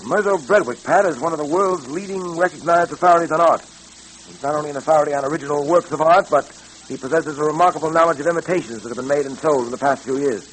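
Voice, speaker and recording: An actor playing a serious, determined police detective in an old-time radio drama, businesslike in manner. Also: male voice, on the high side, a bit bright, slightly rough. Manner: measured wording, serious, businesslike. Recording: noisy old radio recording, hum.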